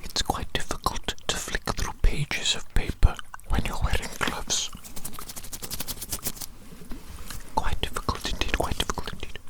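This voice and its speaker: An evil mad scientist doctor, as put on by an ASMR performer, speaks entirely in a breathy whisper. The delivery is musing and doting, circling back over the same phrases with obsessive fascination. It is an intimate roleplay voice. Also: normal speed, close to an everyday voice, low-quality recording.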